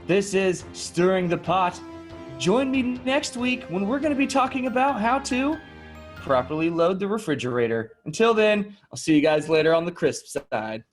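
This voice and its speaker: Polite, slightly awkward male show host, played by a man, higher-pitched, showy sign-offs, improv comedy. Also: very animated, moderate pace, soft background music. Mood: genial.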